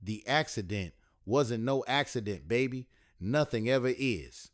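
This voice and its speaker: A soothing, loving mother, middle-aged and gently wise, with folksy turns of phrase, read by a man. Her words come tender and unhurried. The sound is dry and close, like a home audiobook recording. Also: mid-range voice, lively intonation, dry recording, close to an everyday voice.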